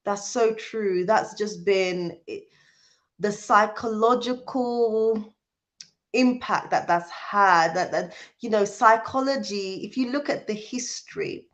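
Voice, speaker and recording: A British woman hosting a casual livestream, talking to viewers in an earnest, emphatic way. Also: medium pitch. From born in Jamaica, raised in the UK. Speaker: livestream host. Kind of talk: casual live chat with viewers, reading out comments.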